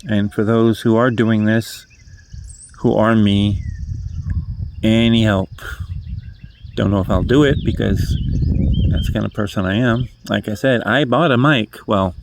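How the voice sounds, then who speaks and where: A man's voice: on the low side, fairly even intonation, unhurried, gravelly, long pauses, a little hiss or hum. A man over fifty from New Hampshire with a New England accent, rambling through his first book vlog, self-deprecating and correcting himself as he goes. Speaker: first-time book vlogger. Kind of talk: casual rambling monologue. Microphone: phone held in hand.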